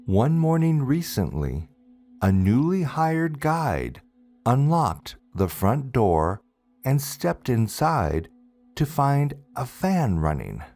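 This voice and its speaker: A man narrating a scripted ghost story as a storyteller and host, with deliberate, dramatic phrasing and drawn-out words. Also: mid-range voice, big pitch swings, darkish tone. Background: music.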